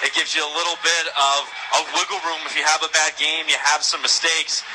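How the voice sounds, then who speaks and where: A man's voice: a little high, flat delivery, fairly quick. A man giving conversational pre-game analysis as a colour commentator on sports radio. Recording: noisy sports radio broadcast.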